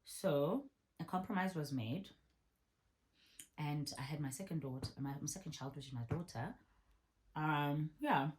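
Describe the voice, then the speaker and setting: A woman in her thirties with a notably low, deep voice. She chats casually through a viewer Q&A, repeating her points for emphasis. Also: unhurried, long pauses, slight background noise, rough recording. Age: 30s. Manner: chatty, repeats herself for emphasis. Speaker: vlogger. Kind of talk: casual Q&A answering viewer questions.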